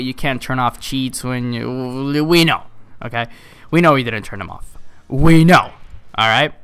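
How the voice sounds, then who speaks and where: A man's voice: fairly high pitch, a bit bright, clean tone, no echo. A young man telling a casual story with exasperated, sarcastic, slangy and sometimes profane delivery, acting out dialogue as he goes. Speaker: video creator telling a story.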